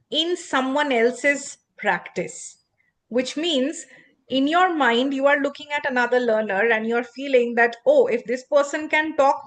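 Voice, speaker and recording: A woman whose first language is not English, hosting a podcast and explaining English fluency in an expressive, emphatic teacherly way. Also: high-pitched. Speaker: podcast host and English coach. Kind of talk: instructive podcast conversation.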